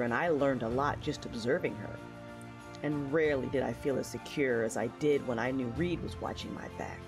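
A woman playing a reflective, war-weary young female knight, narrating her memories earnestly in an audio drama. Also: extremely low pitch. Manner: earnest, reminiscing.

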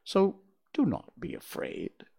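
A man's voice: takes it slowly, mellow, close to an everyday voice. A man voicing a kindly, polite tin woodman while reading a storybook aloud. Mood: reassuring. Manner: polite, earnest.

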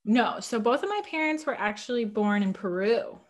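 A woman's voice: a little high, animated, normal speed. A woman from Southern California chatting as a podcast guest, giggly and given to trailing off mid-sentence. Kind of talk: casual chat.

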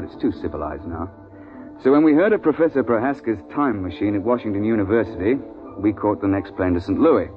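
A seasoned British safari guide in a vintage radio play, on a narrow-band recording. His voice is low, and he talks with blunt, old-boy assurance. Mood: reminiscing. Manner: clipped old-boy idiom, blunt, conversational. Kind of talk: 1950s radio drama acting, storytelling.

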